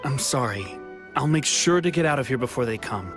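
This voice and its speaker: A man's voice: medium pitch, lively intonation, fairly quick, close to an everyday voice. An actor playing an anxious young man in hiding in a video game, hesitant and sighing with regret. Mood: regretful. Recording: game soundtrack music behind.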